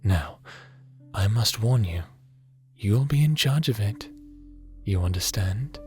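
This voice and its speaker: A man playing a gentle, fatherly creator god with a hidden weariness in a scripted audio roleplay, soft-spoken and deliberate, sometimes slipping into a whisper. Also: lowish voice, expressive, soft background music. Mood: tender, doting. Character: gentle fatherly creator god, tender mentor, secretly haunted and weary.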